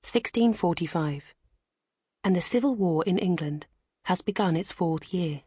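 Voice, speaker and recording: Husky woman narrating an audiobook. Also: lowish voice, measured pace, dark tone, dry recording, studio quality. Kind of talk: scripted dramatic audiobook narration.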